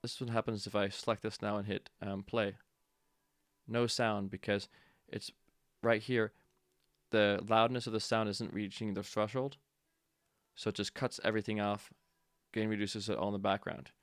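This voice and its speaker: A man's voice: lowish voice, level tone, normal speed, dry recording, studio quality. A man teaching audio production in a casual tutorial, explaining step by step and thinking aloud as he goes.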